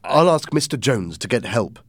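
A male narrator speaks as a young boy hero in an adventure storybook. He sounds earnest and resolute, with urgent, plain-spoken lines and animated pitch. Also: normal speed, husky, no room sound, clean studio sound.

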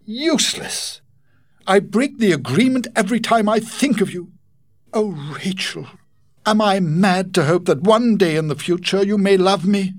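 A man's voice: sits high, unhurried, voice pushed up. A man voicing an ardent, lovesick young suitor in a spoken storybook, effusive and pleading.

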